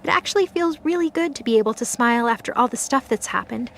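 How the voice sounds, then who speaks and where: A woman's voice: fairly high pitch, expressive, fast talker, creaky, fairly bright tone, slight background noise. A woman narrator reading a scripted dramatic story for an audio drama. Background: music.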